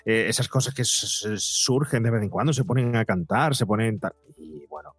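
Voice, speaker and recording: A man's voice: lowish voice, lively intonation, normal speed, husky, no echo, soft background music. A middle-aged man with a Spain accent answering conversationally as a podcast guest, reflective and repeating phrases for emphasis.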